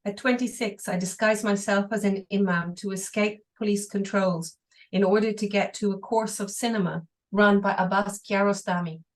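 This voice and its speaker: A woman with a mid-pitched voice reads a translation line by line. Her delivery is steady and careful, with brief pauses. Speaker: interpreter. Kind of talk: reading an English translation aloud.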